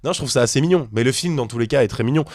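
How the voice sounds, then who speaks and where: A man's voice: medium pitch, racing, dry recording, clean studio sound. A man co-hosting a casual podcast among friends, joking around and then explaining things earnestly. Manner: joking, puts on silly accents, explains earnestly. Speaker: podcast co-host. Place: studio table with friends.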